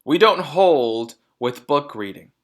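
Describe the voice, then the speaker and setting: A man's voice: a little high, animated, takes it slowly, pitched above the performer's own voice. A man voicing a boorish, dismissive father from a storybook in a blustering, emphatic way. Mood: scornful.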